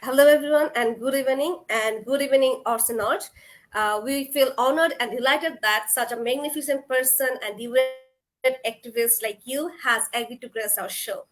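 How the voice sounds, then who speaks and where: Woman, high voice, webinar host, formal polite questions, video call. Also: moderate pace, faint music behind, low-quality recording. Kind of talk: webinar interview.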